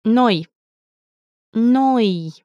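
A woman teaching a language, saying each word slowly and clearly twice in a scripted lesson. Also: fairly high pitch, animated, mellow, no echo, lo-fi sound. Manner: slow careful pronunciation with repeats. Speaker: native-speaker language teacher.

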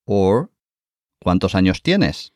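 A man teaching Spanish as co-host of a scripted language lesson, saying each phrase slowly and clearly and encouraging the learner. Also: low-pitched, big pitch swings, no room sound, clean studio sound.

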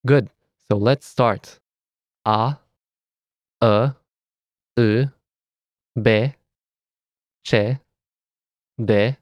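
Romanian-accented male tutor, unhurried careful diction, dry studio sound. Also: mid-range voice, darkish tone, no echo. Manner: measured, careful enunciation of letters. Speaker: language teacher. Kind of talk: scripted language lesson.

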